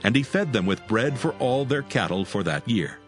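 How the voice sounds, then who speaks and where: A man narrating a dramatized scripture reading in a solemn, expressive way. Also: mid-range voice, moderate pace, faint music behind.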